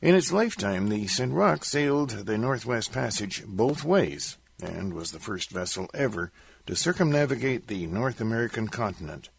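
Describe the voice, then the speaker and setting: Man, radio host, scripted narration, lively intonation, gravelly low voice. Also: moderate pace. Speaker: radio host presenting folk music.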